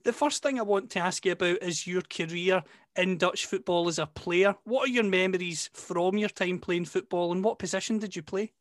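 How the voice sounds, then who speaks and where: A man's voice: sits high, normal speed, no room sound, clean studio sound. A man with a Scottish accent hosting a football interview and putting questions to his guest. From Scotland. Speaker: interviewer and host.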